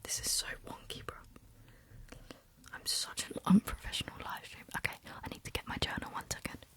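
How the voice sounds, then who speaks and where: A woman chatting in a soft whisper on her ASMR livestream, looping words over and over. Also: fairly quick. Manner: chatty, repeats words in loops.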